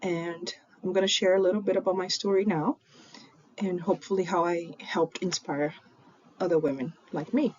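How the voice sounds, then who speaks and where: A woman's voice: fairly low pitch, fairly even intonation, moderate pace, a little mellow. A young woman from Brazil with a Brazilian accent, Portuguese her first language, telling her own story in an earnest way with frequent ums. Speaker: PhD student in genetics. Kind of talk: personal story presentation. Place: home in Fortaleza, recorded remotely. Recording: dull lo-fi recording with faint noise.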